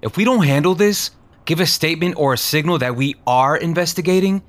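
A man's voice: fairly high pitch, fairly quick, close to an everyday voice. A man playing an earnest, loyal police chief, deferential but firm, in an audio drama. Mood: cautious. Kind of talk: scripted audio drama acting.

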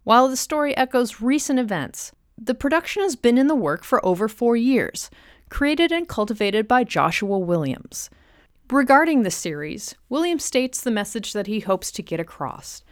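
A woman's voice: fairly high pitch, sing-song, normal speed, dry recording, studio quality. A woman hosting a podcast, reading a scripted introduction. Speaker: podcast host.

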